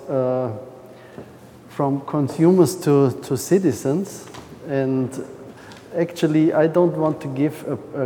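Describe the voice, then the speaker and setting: Austrian man presenting in English, nervous and halting, mellow voice, long hall reverb. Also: mid-range voice, slight background noise. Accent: Austrian German. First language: German. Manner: hesitant, frequent uh and restarts. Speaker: speaker. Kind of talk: conference presentation.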